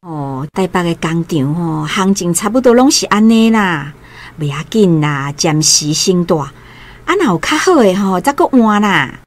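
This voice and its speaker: A woman's voice: fairly low pitch, expressive, unhurried. An actress playing a kindly, fussing aunt in a radio drama, with a Taiwanese accent and a motherly, exclamatory manner. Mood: reassuring. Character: kindly, fussing young aunt housing her niece.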